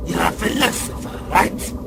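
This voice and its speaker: An actor playing a sly, sardonic palace official in a radio drama. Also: male voice, high voice, normal speed, creaky. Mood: scheming. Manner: sardonic, dry. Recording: loud background noise.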